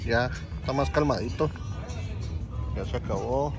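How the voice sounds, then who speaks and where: A man's voice: medium pitch, measured pace, over music. A man, chatting casually to viewers from his stall at an outdoor flea market, easygoing and friendly. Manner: easygoing, addresses viewers as "mi gente". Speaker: vlogger selling at a flea market. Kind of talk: casual vlog chat.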